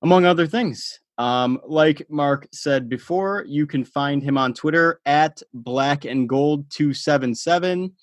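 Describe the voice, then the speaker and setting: A man's voice: on the high side, no room sound. Chatty laughing man hosting a podcast. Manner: chatty, laughing, filler-heavy. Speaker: podcast host. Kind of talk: casual podcast interview.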